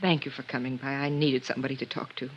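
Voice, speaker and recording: Strained, guarded wife in vintage radio drama. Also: female voice, rumbling low, level tone, fast. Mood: hesitant, subdued. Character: strained, anxious, guarded estranged wife and mother. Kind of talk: 1950s radio drama acting. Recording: dull band-limited 1950s radio recording.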